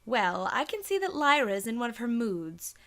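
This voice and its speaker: A woman's voice: on the high side, very animated, moderate pace, fairly bright tone. A woman voicing a sly, teasing female pony with a knowing manner in a dramatised story reading. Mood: mild weariness. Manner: playfully knowing.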